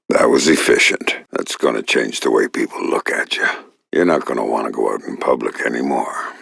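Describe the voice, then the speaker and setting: Very deep gravelly covert agent. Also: male voice, no echo, studio quality, full character voice. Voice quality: gravelly, low, often breathy growl. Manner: deadpan, sardonic, terse. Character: gruff, wry, menacing middle-aged male covert agent. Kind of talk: video game voice lines.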